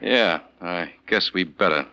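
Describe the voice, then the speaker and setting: A man's voice: deep voice, unhurried, pushed and bright, exaggerated voice. A man playing an easygoing, wry neighbour in a 1940s radio drama. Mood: wry, concerned. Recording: muffled, very noisy vintage radio recording.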